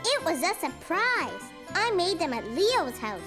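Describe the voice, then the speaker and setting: A woman voicing an excitable, sweet four-year-old cartoon boy in an eager, childlike manner. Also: extremely high pitch, unhurried, over music, full character voice. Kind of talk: preschool cartoon acting.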